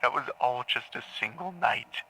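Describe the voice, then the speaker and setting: A man playing a troubled patient in an audio drama, haltingly confessing dark memories to a therapist, stammering and repeating words. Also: medium pitch, animated, slight background noise, rough recording. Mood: tormented, grim. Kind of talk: audio drama acting, intimate confessional monologue.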